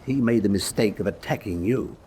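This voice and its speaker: An actor playing a conscientious, doubting German military officer in a radio drama, measured and formal in his speech. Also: on the low side, warm tone, lightly acted. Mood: probing, challenging. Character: conscientious, doubting German officer presiding over prisoners' court-martial. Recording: background noise and ambience.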